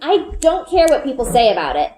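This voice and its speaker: A woman's voice: high voice, big pitch swings, fairly quick, dark tone, a little hiss or hum, pitched above the performer's own voice. A woman voicing an eager, stubborn young girl in a children's storybook, speaking earnestly and insistently. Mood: determined. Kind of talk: children's storybook read-aloud. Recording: roomy indoor echo.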